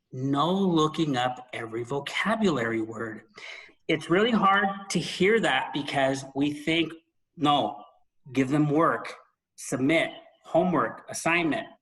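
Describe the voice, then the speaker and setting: A man with a middling-pitched voice hosts a relaxed book discussion. He talks conversationally but leans on key points, pausing for dramatic effect. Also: poor audio. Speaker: podcast host.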